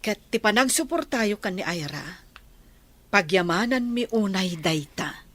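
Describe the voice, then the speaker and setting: A woman's voice: mid-range voice, lively intonation, measured pace, fairly bright tone. A woman playing a forgiving, grateful woman in an Ilocano radio drama, acting earnestly.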